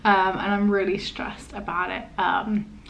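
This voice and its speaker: A young woman with a stammer, chatting casually to camera in a halting, hesitant way, often trailing off. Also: medium pitch, flat delivery, vocal fry, muffled recording, slight background noise. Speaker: vlogger.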